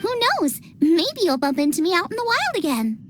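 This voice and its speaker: A woman's voice: extremely high pitch, big pitch swings, moderate pace, very noisy. A woman voicing a cheerful, passionate young girl chef in an anime-style video game, bubbly and earnest. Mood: upbeat, friendly.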